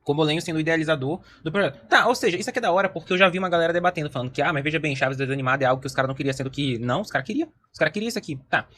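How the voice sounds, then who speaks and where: A Brazilian man hosts a casual reaction stream in a fairly high voice. He rattles along extremely fast, rambling through opinions in loose slang. Some noise sits behind him. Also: expressive, bright, forceful tone. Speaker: host of a reaction livestream. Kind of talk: casual chatty reaction commentary.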